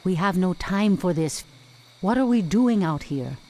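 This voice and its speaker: Creaky, imperious elf priestess. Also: female voice, lowish voice, animated, unhurried, darkish tone. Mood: impatient. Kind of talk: video game cinematic acting.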